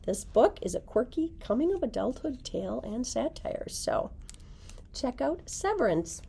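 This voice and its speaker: Mellow-voiced woman presenting books. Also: a little high, very animated, a little slow, gravelly, husky. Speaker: librarian presenting book picks. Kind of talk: reading book blurbs aloud with brief chat. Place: indoors, library room with bookshelves.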